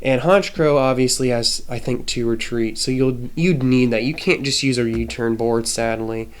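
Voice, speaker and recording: A man's voice: mid-range voice, moderate pace, clear, resonant tone. A man with a nasal, stuffy voice from a cold, casually explaining a card game deck with many hesitations. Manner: hesitant, frequent ums and restarts. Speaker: trading card game content creator.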